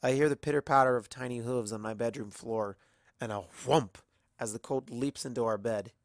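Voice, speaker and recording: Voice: mid-range voice, normal speed, dry recording, clean studio sound. An amateur narrator reading a story expressively from a script.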